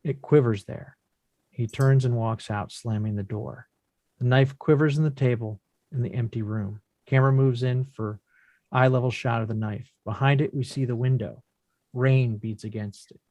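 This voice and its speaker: A man narrating scripted screen action in measured, deliberate short phrases as the reader of stage directions. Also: medium pitch, a little mellow, dry recording, highs cut off.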